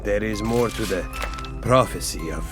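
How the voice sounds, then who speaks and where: A man playing an obsequious, scheming old royal advisor in a sci-fi audio drama, deferential and halting. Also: fairly low pitch, close to an everyday voice. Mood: earnest, scheming. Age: older. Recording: music behind the voice.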